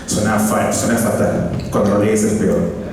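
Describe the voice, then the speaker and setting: Man, comic writer on a panel, low mellow voice, hesitant, far-off echoey hall. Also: fairly even intonation, a little slow, a little hiss or hum. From Peru. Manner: hesitant, many fillers and restarts. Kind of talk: conference panel talk. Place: conference hall.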